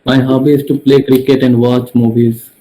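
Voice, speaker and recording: A man's voice: mid-range voice, level tone, moderate pace, mellow, low-quality recording. A young male student from Bihar introducing himself in class, plain and slightly hesitant. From Bihar, India. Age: young adult. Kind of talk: self-introduction.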